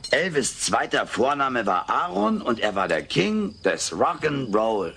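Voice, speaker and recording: An actor playing a cheeky, excitable furry alien in a dubbed sitcom audio drama, insistent and comically earnest. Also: male voice, medium pitch, takes it slowly, gravelly, full character voice. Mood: stubborn. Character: wisecracking, excitable furry alien living with a family. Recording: hissy old cassette recording.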